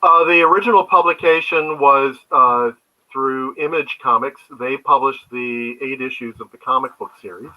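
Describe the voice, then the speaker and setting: A man's voice: medium pitch, normal speed, narrow, tinny audio. An older man, a guest comics creator, telling rambling anecdotes in a casual interview.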